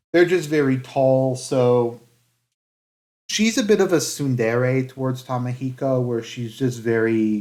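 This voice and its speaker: A man giving casual review commentary in a halting, hedging way, with phrases that trail off. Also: lowish voice, a little mellow. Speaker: anime reviewer.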